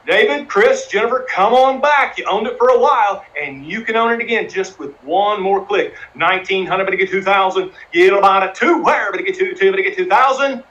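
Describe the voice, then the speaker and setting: A man calling a live charity auction, chanting bids in a fast rhythm and coaxing bidders to raise again. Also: sits high, lively intonation, fairly bright tone, lo-fi sound. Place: live streamed fundraising event.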